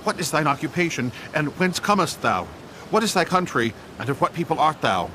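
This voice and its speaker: A man playing a frightened sailor, urgent and pleading, in a dramatised scripture reading with loud rumble behind him. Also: big pitch swings, brisk. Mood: urgent, anxious.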